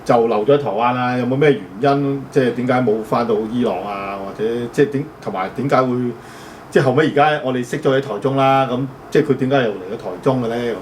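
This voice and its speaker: A man with a Hong Kong Cantonese accent, hosting a casual interview and asking questions in a relaxed, chatty way with fillers. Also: mid-range voice, fast. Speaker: host. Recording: distant mic with faint background noise.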